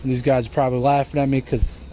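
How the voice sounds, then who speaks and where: A twenty-year-old band member talks fast and makes jokes at his own expense. His voice is mellow and dark. Faint background noise sits behind him. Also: mid-range voice, little pitch movement. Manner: joking, self-mocking. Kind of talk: casual interview answers. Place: outdoors at a music venue.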